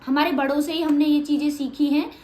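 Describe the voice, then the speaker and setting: A high-pitched woman, speaking plainly and a little bluntly as she answers her viewers. Her voice rings in an echoey room. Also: fairly even intonation, a little fast, a little mellow. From Haryana, India. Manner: frank, matter-of-fact, a little blunt. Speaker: vlogger. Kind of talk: casual Q&A vlog.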